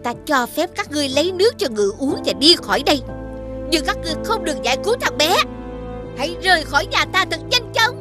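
A woman's voice: very high-pitched, sing-song, quick pace, over music. A woman playing an irritable, imperious moonlight witch in a scripted children's fairy tale, scolding dramatically. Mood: stern, irritable. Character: ugly, irritable moonlight witch hiding in a well.

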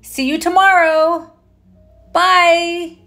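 A woman's voice: extremely high pitch, level tone, very slow, bright, forceful tone, soft background music, voice pushed up, heavily acted. A woman voicing a sweet young boy mouse from a storybook, eager and exclamatory. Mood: excited.

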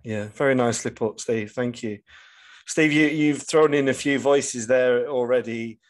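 A man hosting a conversational podcast interview, asking questions in a halting way with frequent restarts. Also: mid-range voice, fairly even intonation. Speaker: podcast host.

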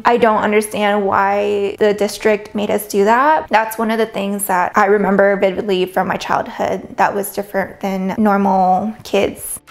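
A woman's voice: medium pitch, gravelly. A young woman from the United States, telling personal stories to camera from a sofa in her living room, candid and reflective. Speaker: vlogger.

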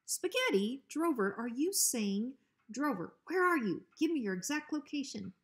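A woman's voice: sits high, very animated, moderate pace, voice pushed up. A woman plays an officious storybook guard dog. He is bossy and full of bluster, yet a coward underneath. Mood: indignant. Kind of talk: children's storybook reading.

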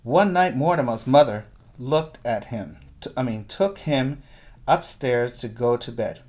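A man in his forties reads a picture book to small children at a slow pace. His delivery is deliberate and expressive, like a teacher making sure the class follows along. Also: mid-range voice. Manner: deliberate, expressive, checks in with listeners. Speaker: preschool teacher. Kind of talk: read-aloud storytelling for preschoolers. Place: small plain room indoors. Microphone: webcam at arm's length.

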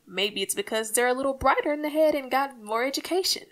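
A woman acts out an earnest radio-comedy housewife and mother who is no longer young. Her voice sits a little high. She speaks in a folksy, coaxing way with a plaintive edge, trying to keep the peace. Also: quick pace, fairly bright tone. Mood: wistful, confiding. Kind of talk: old-time radio comedy acting.

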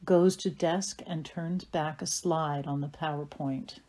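A woman's voice: sits low, steady tone, takes it slowly, warm tone. A woman giving scripted narration, reading out stage directions for an audio play.

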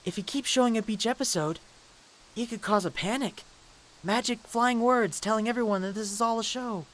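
A woman's voice: on the high side, moderate pace, lightly acted, low-quality recording. A woman voicing an irritable, ranting young pony in a parody cartoon, sarcastic and exasperated. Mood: worried muttering.

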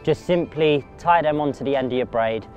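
Young male outdoor presenter, instructional and plain-spoken, medium pitch, music behind. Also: normal speed, darkish tone. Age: young adult. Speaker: angler presenting a tackle tutorial. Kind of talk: instructional talk to camera. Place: outdoors by a riverside path.

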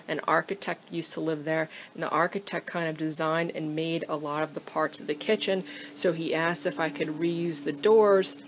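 Woman woodworker, deep voice, easygoing how-to talk with ums, workshop mic with a faint noise floor. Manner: relaxed, thinking aloud with frequent ums. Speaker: woodworker explaining a build. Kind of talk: casual how-to explanation. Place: wood workshop. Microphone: camera pointing down at the workbench, speaker off-screen.

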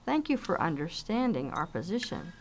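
A woman playing a composed rebel leader in an amateur radio drama, formal and measured. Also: lowish voice, very animated, a little hiss or hum. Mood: measured, conceding.

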